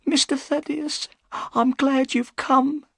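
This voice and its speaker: This is a terrified old housekeeper, put on by a storybook reader. The voice sits high and quavers as she begs for help, drawn out and slow. It is recorded dry and close. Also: little pitch movement, gravelly, fairly bright tone, dry recording, exaggerated voice. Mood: frightened. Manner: pleading, high and trembling. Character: frightened old female housekeeper.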